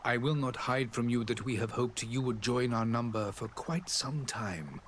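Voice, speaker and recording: An actor playing a dignified, courteous diplomat in a role-playing game, speaking formally and eloquently. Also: male voice, mid-range voice, moderate pace, fairly bright tone, a little hiss or hum, close to an everyday voice. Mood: proud, explanatory. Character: dignified male diplomat of a foreign alliance, proud and courteous.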